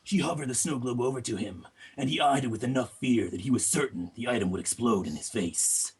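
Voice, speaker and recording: A man's voice: medium pitch, brisk, breathy edge, low-quality recording. A man reading a story aloud as an amateur narrator. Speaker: podcast participant reading aloud.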